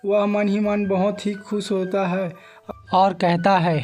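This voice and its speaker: A male narrator reads a scripted moral tale for children. His voice is high for a man and soft-edged, with very even intonation and music playing behind it. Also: slow pace. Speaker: story narrator.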